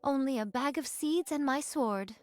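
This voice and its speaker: A woman's voice: sits high, normal speed, dry recording, soft background music, close to an everyday voice. An actress playing a composed, dignified female sword fighter in a video game, with formal, old-fashioned phrasing. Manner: formal, archaic wording, composed.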